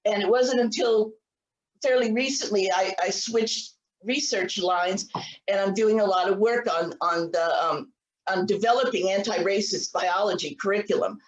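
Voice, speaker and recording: Woman, 60s, university biology lecturer on a video call; earnest, halting delivery; roomy echo, distant mic. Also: medium pitch, highs cut off. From United States. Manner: earnest, many restarts and repeated words. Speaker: biology professor giving a lecture. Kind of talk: virtual lecture with slides. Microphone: computer mic on a video call. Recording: echoey room, voice a little far from the mic.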